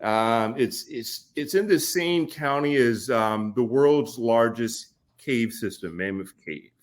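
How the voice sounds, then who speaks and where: A man in his forties from Kentucky, a podcast guest telling stories in a relaxed way, laughing often and pausing with fillers. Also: mid-range voice. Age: 40s. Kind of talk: casual storytelling conversation.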